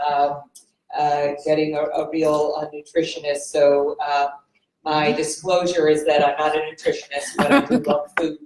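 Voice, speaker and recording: A woman's voice: low-pitched, background noise. A woman oncologist moderating and giving a conference talk, chatty with many fillers, laughing at herself. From United States. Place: conference hall. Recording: roomy hall sound.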